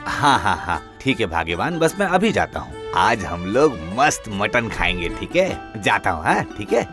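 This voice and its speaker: A man playing a poor, meek, anxious village potter in an animated fairy tale, with a deferential, pleading way of talking. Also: on the low side, moderate pace, over music. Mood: eager, deferential.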